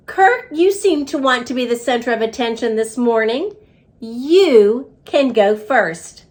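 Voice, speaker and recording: A woman voicing a brisk female schoolteacher in a storybook, firm but encouraging as she instructs children. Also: on the high side, expressive.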